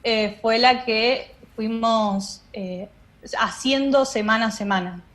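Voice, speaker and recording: A woman's voice: on the high side, takes it slowly, a bit bright. A woman explaining her work in an interview, phrasing it a little hesitantly as she goes. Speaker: interviewed radio drama director.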